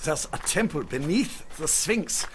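An actor playing a courteous, learned German archaeologist with a German accent, speaking like a formal storyteller in a radio drama. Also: male voice, a little high, sing-song, measured pace, slight background noise. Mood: reverent, explanatory.

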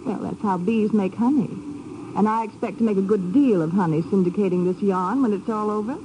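A woman's voice: medium pitch, a little fast, warm tone, natural voice, low-quality recording. A woman playing a cool, teasing, self-assured reporter in a 1950s radio drama. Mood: sly, teasing. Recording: old radio broadcast, faint noise.

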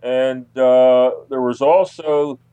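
A man speaks as a researcher being interviewed, in a mid-pitched voice with a dry sound. He talks conversationally but haltingly, often restating a phrase to drive it home. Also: steady tone, mellow, clear, resonant tone, no room sound, muffled recording. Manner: halting, repeats phrases for emphasis.

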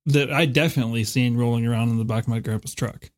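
A man's voice: medium pitch, fairly even intonation, quick pace. A man co-hosting a podcast, dropping dry, joking remarks into casual banter. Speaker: podcast co-host.

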